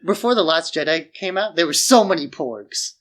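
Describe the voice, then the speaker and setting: A man's voice: on the high side, lively intonation, normal speed, fairly bright tone. A man co-hosting a podcast, giving his opinions on films in loose conversational speech peppered with "like". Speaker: podcast co-host. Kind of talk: casual opinionated film chat.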